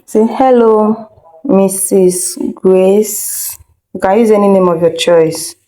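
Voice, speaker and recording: A woman's voice: medium pitch, slow, warm tone, poor audio. A woman teaching an informal step-by-step screen tutorial, instructing directly, repeating herself and checking that learners follow. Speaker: video editing instructor.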